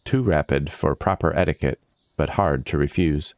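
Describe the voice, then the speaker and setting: A man narrating a scripted dark fantasy story in a measured, solemn and dramatic manner. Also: a bass voice, no echo, studio quality. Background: background music.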